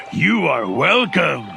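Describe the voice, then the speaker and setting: A man voicing a big, mean-looking but kindly crocodile in a storybook, with a gravelly, deliberate drawl. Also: a little high, sing-song, pitched above the performer's own voice, exaggerated voice. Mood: pleased. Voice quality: gravelly, creaking. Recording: loud music behind.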